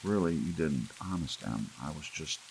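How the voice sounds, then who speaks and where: A man voicing an anxious, meek, gentle husband in a storybook, hesitant and pleading. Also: very deep voice, lively intonation, normal speed, creaky, slight background noise, heavily acted, low-quality recording. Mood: meek, apologetic.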